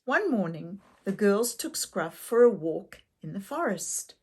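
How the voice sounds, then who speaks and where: An older woman narrating a children's story expressively, gently and deliberately, with questions to young listeners. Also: mid-range voice, very animated, husky. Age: older, grandmother.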